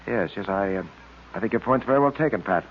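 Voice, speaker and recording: An actor playing a shrewd, authoritative police detective with a husky voice and clipped, firm delivery in an old radio drama. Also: male voice, on the low side, quick pace, a little hiss or hum, natural voice. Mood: measured. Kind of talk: 1940s radio drama acting. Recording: tinny, band-limited old radio recording.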